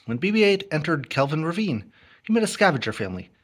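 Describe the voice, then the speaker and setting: A man narrating a children's story as an expressive read-along. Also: a little high, fast talker. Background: music and sound effects.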